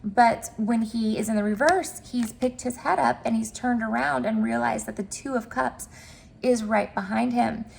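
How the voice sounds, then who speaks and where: Chatty woman with a stuffy, gravelly voice. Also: fairly high pitch, moderate pace, darkish tone, slight background noise, rough recording. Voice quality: cracky, nasal. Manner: chatty, upbeat, many fillers. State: mild cold, nasal and stuffed up. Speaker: tarot reader. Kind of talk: casual tarot reading to camera.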